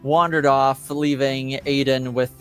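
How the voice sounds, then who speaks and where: A man casually recapping a tabletop roleplaying session as game master, haltingly, searching for his words. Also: mid-range voice, little pitch movement, faint music behind.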